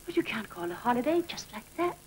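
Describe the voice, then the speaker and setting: A woman's voice: fairly high pitch, very animated, fast talker. An actress playing an earnest, polite female nun, who was born in Sweden, in a 1940s film. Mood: worried. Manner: earnest, polite, softly persuasive. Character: earnest gentle female nun, former tomboy. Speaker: nun, school sister. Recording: old film soundtrack with faint hiss.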